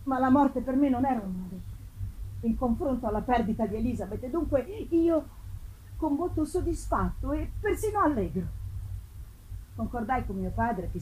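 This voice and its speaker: A woman voicing a tormented, dread-filled young gentleman, grave and theatrical, in a first-person gothic novel reading with long pauses. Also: on the high side, slight background noise, close to an everyday voice, poor audio. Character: tormented young gentleman haunted by dread, confessing to his beloved.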